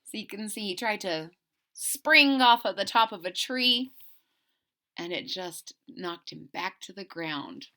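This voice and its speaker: A woman reading a children's story aloud with exaggerated, drawn-out emphasis and chatty asides to her listeners. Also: medium pitch, animated, sharp tone. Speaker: storybook read-aloud host.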